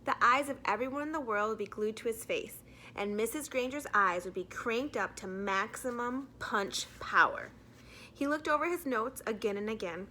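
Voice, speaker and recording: A woman's voice: a little high, lively intonation, normal speed, sharp tone, slight background noise. A woman narrating a children's storybook as a teacher reading aloud to a class.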